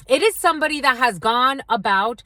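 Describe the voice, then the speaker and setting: A woman's voice: sits high, lively intonation, a little fast, bright, forceful tone, dry recording. A woman doing a channeled tarot reading, rambling from one intuitive impression to the next and speaking straight to her viewers. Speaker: tarot reader.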